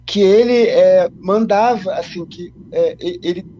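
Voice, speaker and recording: A Brazilian man telling a story in a halting way, restarting often. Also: high-pitched, mellow, muffled recording, a little hiss or hum. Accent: Brazilian Portuguese. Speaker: panelist. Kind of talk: discussion.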